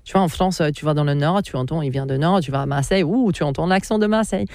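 A woman from Australia with an Australian English accent, speaking French as a second language in a casual interview, animated, laughing often and hunting for words. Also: sits low, fast talker, no echo, clean studio sound. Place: Orbe area in the mountains.

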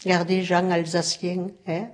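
A woman's voice: fairly low pitch, flat delivery, a little slow. A woman chatting in a street interview, laughing easily.

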